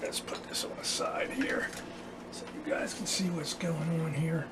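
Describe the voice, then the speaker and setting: Halting man, higher-pitched, over loud noise. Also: fairly even intonation. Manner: halting, pausing mid-sentence. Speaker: vlogger repairing vintage radios. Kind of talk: casual hands-on repair walkthrough.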